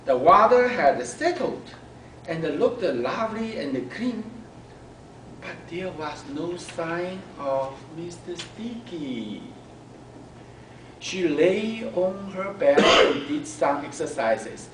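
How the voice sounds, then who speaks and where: A man reads a children's story expressively, slowly and in a slightly high voice. He pauses between phrases, and the room echoes around him. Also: big pitch swings. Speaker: storyteller at a speaking club. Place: club meeting room. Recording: echoey room, distant mic, background noise.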